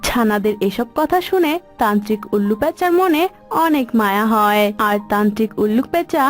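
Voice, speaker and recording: A woman's voice: mid-range voice, lively intonation, a little fast, soft background music. A woman reading scripted narration for a children's cartoon story.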